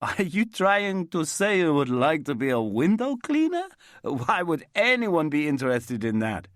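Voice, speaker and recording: A man's voice: on the high side, very animated, measured pace, fairly bright tone, no room sound, clean studio sound, voice pushed up. A man voicing an older Italian window cleaner, tired and worn down, with an Italian accent. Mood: polite.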